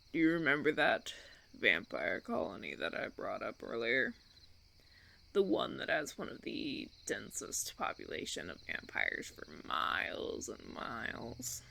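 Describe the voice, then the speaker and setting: A man playing a suave, condescending vampire colony leader in a roleplay audio drama, theatrical and teasing, with drawn-out phrasing. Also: a little high, creaky, sharp tone, full character voice. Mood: proud, grandiose.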